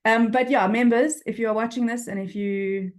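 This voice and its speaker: A woman's voice: fairly high pitch, fairly even intonation, normal speed. A woman in her forties with a Durban South African accent, chatting frankly in an interview over a video call, often restarting her sentences. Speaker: freelance copywriter, guest. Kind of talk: casual interview chat.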